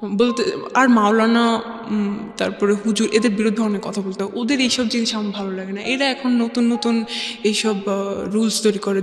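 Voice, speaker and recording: A woman with a Bangladeshi accent tells her own story as an interview guest, haltingly, repeating phrases and mixing in English words. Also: medium pitch. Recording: echoey reverb, faint music bed. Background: faint music.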